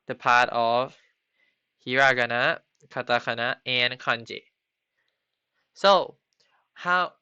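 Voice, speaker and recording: A man teaching a beginner Japanese class on a live stream, halting often with ums and restarts. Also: medium pitch, bright, forceful tone, smooth, no room sound. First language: not Japanese. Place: home computer setup. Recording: slightly dull lo-fi stream audio.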